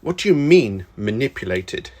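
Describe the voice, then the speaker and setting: A man voicing a wary, intense team member in a dramatic audiobook, speaking in a halting, suspicious way. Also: mid-range voice, very animated, darkish tone. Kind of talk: dramatic audiobook character acting.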